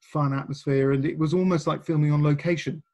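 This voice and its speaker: A man's voice: on the high side, fairly even intonation, a little fast, a little mellow. A man hosting a panel interview in casual, conversational style, feeling his way into his questions. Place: remote online video panel during lockdown.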